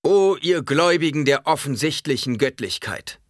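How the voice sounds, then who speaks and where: A man voicing a fiery old desert preacher in an audiobook, proclaiming solemn warnings in a booming, declamatory way. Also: expressive, moderate pace, no echo, clean studio sound, lightly acted. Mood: accusing, fervent.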